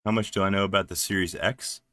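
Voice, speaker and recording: A man from New Jersey with a deep voice, chatting casually and haltingly on a live stream while he works at his computer, with lots of fillers. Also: steady tone, fairly bright tone, dry recording, clean studio sound. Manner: rambling, halting, many fillers, laughs often. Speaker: livestreaming developer.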